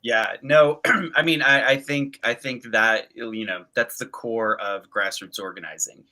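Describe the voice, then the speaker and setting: A man with a bright, sharp edge to his voice, hosting a relaxed interview. He speaks earnestly and works through his thoughts aloud, restarting phrases as he goes. His pitch sits in an ordinary middle range. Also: unhurried. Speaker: podcast host, interviewer. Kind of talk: casual conversational interview.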